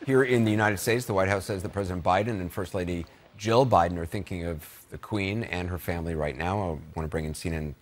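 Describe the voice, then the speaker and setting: Male news anchor, very deep voice, steady and authoritative on live breaking news. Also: fast, few pauses.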